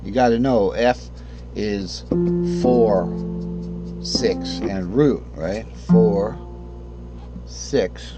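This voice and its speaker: A man teaching a casual guitar lesson, hoarse because he is losing his voice, who rambles, corrects himself and often clears his throat. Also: on the low side, very slow, pauses often, highs cut off. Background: guitar playing.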